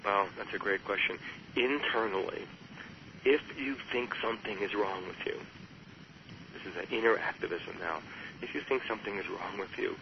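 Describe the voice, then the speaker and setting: A man with a fairly low voice speaks with measured earnestness and builds his points through rhetorical questions. The sound is muffled, like an older radio broadcast, with a faint hiss underneath. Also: vocal fry. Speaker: author and guest. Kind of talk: reflective interview talk.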